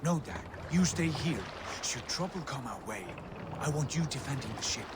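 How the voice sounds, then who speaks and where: An actor playing a wary, commanding Viking leader in a video game cutscene, measured and authoritative. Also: male voice, medium pitch, lively intonation, background noise, natural voice. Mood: firm, low. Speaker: leader of a raiding crew. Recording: loud music and water behind dialogue. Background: game music, water, boat sounds.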